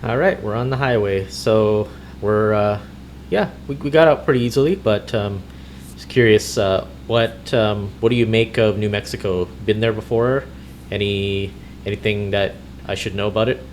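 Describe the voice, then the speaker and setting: A man hosting a gaming video in casual, easygoing chat, with a hesitant, halting delivery. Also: on the low side, slight background noise. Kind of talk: casual roleplay chat while driving in a game. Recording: music behind the voice. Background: music.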